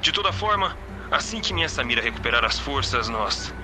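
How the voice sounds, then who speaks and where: Man acting grieving Brazilian father, expressive swings. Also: medium pitch, a little fast, over music, lightly acted. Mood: bitter, resigned. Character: grieving, bitter father of a murdered daughter. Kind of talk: audio drama acting.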